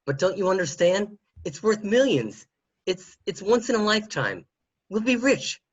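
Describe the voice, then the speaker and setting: Man acting high, animated, insistent schemer. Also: moderate pace, husky, exaggerated voice. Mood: urgent, pleading. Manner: pleading, insistent. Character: Marty, excitable male schemer pitching a big score. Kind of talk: film script read in radio-play form. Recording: dull lo-fi microphone.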